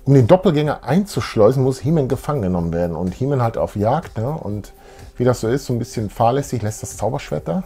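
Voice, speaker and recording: A man in his thirties co-hosts a fan show in casual, colloquial speech. His voice is medium in pitch, and he talks with eager enthusiasm. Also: lively intonation, fast, mellow. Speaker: co-host of a retro fan show. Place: small indoor room full of collectibles.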